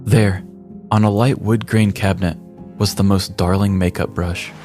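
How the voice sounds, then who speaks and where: A man with a low voice narrates a scripted comic tale as a podcast host. He delivers it with ornate, mock-serious drama. Music plays softly underneath. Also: fairly even intonation, normal speed. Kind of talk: scripted comedic story narration. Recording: music bed behind the voice. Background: background music.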